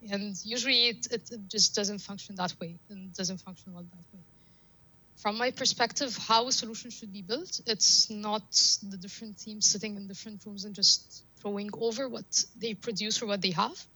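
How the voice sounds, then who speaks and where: A woman's voice: mid-range voice, steady tone, moderate pace, bright, forceful tone, breathy edge, rough recording. A woman whose first language is Arabic, a guest on a podcast, explaining her ideas with examples and repeated phrases. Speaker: guest data scientist. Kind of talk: podcast interview.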